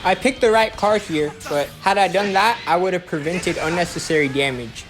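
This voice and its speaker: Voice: mid-range voice, moderate pace. A game guide narrator explaining tactics step by step in an instructional way. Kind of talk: gameplay guide commentary. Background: music.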